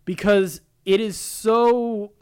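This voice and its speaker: A man's voice: high voice, fairly even intonation, slow pace, clean tone. A man co-hosting a podcast, talking casually in an earnest, effusive and rambling way. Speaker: podcast co-host. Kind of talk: casual pop-culture discussion.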